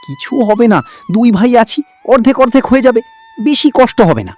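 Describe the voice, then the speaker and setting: A man's voice: extremely high pitch, very animated, fairly quick, darkish tone, soft background music. A man playing a meek but clever younger brother in a village cartoon, deferential and plaintive. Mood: mock-innocent. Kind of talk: Bengali cartoon dubbing.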